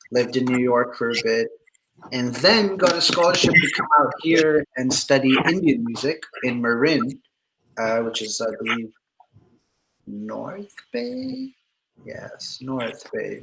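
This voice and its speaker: A man's voice: mid-range voice, background noise. Chatty young man from Pakistan. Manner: relaxed, chatty, laughs easily. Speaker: musician guest. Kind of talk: casual video interview.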